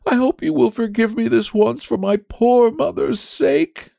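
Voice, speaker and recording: A man's voice: high-pitched, normal speed, gravelly, warm tone, dry recording, pitched above the performer's own voice. A man playing a meek, tearful little shepherd boy in a storybook, pleading plaintively.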